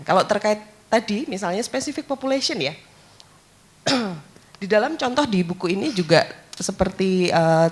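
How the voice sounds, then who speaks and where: A woman's voice: on the low side, a little mellow. A woman, an author answering audience questions at a book launch, explaining at length with many hesitations. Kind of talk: academic Q&A answer.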